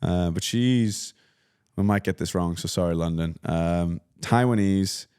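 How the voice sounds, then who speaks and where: A man with a very deep voice hosts a podcast interview. He asks his questions in a curious, relaxed, conversational way. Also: a little slow, clear, resonant tone. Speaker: podcast host. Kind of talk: casual interview chat.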